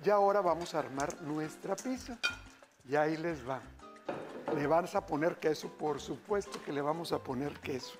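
A man's voice: on the high side, normal speed, music in the background. A middle-aged man hosting a TV cooking show, chatting with viewers as he cooks in a studio kitchen. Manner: upbeat, chatty, keeps calling viewers "familia". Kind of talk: cooking demonstration, addressing viewers.

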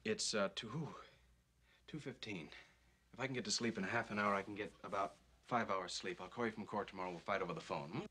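Fast, exasperated young husband. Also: male voice, fairly low pitch, slightly rough, heavily acted. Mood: tired, sarcastic. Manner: dry, sarcastic, excitable. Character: young lawyer husband, practical, sarcastic, exasperated. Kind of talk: theatrical romantic comedy acting.

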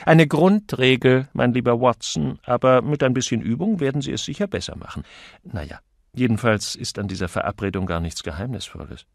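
A man playing an airy, animated gentleman sleuth. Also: mid-range voice, a little fast, vocal fry, dry recording, clean studio sound, pitched above the performer's own voice. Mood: amused, lecturing. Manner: airy, flowery, mock-lecturing. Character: witty, teasing gentleman amateur sleuth.

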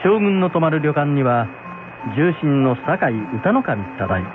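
A man's voice: a little high, sing-song, a little slow. A middle-aged man narrating a scripted folk tale as storyteller and host. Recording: muffled phone-like sound, background music.

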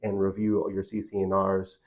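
Mellow, low-pitched man explaining. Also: monotone, a little fast. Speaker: title company representative explaining a document. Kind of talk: informal step-by-step explanation. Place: small glass-walled office meeting room.

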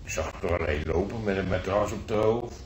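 An actor playing a nostalgic, gruff old war veteran grandfather in a radio drama, telling his memories haltingly, repeating himself in earthy colloquial words. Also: low voice, close to an everyday voice. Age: older. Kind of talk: radio drama acting, reminiscing monologue. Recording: roomy old radio play, faint noise.

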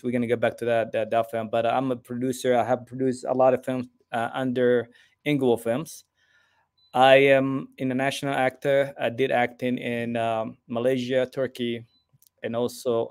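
A man's voice: medium pitch, darkish tone. Hesitant man from Somalia. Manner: hesitant, many fillers. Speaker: guest filmmaker. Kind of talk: casual interview. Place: home room. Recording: video call.

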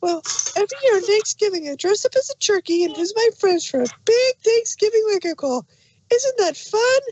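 A hyperactive young cartoon pony with an extremely high, squeaky-pitched voice. She is relentlessly cheerful and pushy, exclaiming her way through a fan audio drama, recorded close and dry. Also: lively intonation, fairly quick, darkish tone, no room sound, muffled recording. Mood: bubbly, excited.

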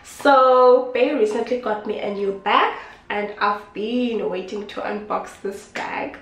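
A woman's voice: mid-range voice, takes it slowly, a bit bright, distant mic, slight background noise. Congested young woman vlogging in a kitchen. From South Africa. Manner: chatty, affectionate, repeats herself. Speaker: beauty vlogger. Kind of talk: casual unboxing talk to camera. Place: small indoor kitchen. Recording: echoey room, voice off-mic.

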